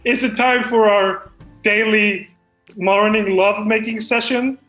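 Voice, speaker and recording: A man's voice: high voice, fairly even intonation, a little slow, fairly bright tone. A man playing a stilted, courteous robotic woman author in a comedic script reading. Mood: stilted greeting. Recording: roomy echo, music behind.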